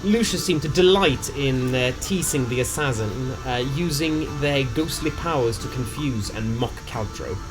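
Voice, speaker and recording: A man with a medium-pitched voice tells the story of a tabletop game session. His delivery is dramatic yet casual, with bits of slang along the way. Music plays clearly behind him throughout. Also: animated, normal speed, hardly any pauses. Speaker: narrator of a tabletop game recap. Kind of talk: narrated story recap, semi-scripted, casual.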